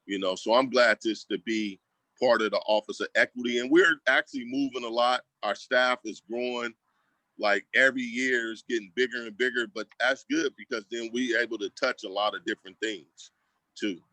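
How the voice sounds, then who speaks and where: Casting: American man, community advocate, bright punchy voice, dry close sound, casual but emphatic interview talk. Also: mid-range voice, normal speed, no echo, poor audio. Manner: emphatic, colloquial, repeats phrases for emphasis. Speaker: school district equity staff member and community advocate.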